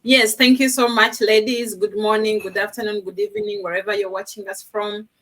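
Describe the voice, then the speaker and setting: Bright, effusive woman on a video call. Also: medium pitch, flat delivery, a little fast, low-quality recording. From Uganda. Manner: effusive, laughs easily. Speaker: panel guest. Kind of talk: casual chat on a live video call.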